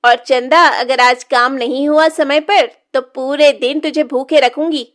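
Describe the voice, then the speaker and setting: A middle-aged village stepmother played by a woman from a moral-story cartoon. Her voice sits high, and she scolds harshly, taunting and threatening as a cruel tyrant of the house. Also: fairly even intonation, unhurried, fairly bright tone.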